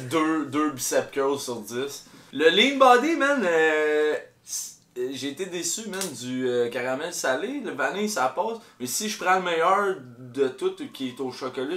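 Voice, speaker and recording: Bright-voiced blunt Québécois guy. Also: fairly high pitch, lively intonation, a little slow. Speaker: podcast co-host. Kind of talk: casual chat. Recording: roomy echo.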